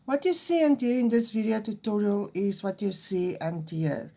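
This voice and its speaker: A woman's voice: mid-range voice, very animated, moderate pace, dark tone. A woman from South Africa with an Afrikaans accent, her first language, giving an unedited crochet lesson in a nervous, hesitant way. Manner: hesitant and nervous, with fillers and restarts. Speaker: crochet teacher.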